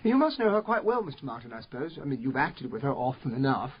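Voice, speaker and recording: An actor playing a genial, slightly baffled captain in a radio drama, polite and chatty. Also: male voice, medium pitch, very animated, quick pace, natural voice. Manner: polite, chatty, mildly bumbling. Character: genial, slightly baffled English captain, detective's gentlemanly companion. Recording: dull radio audio with clear noise.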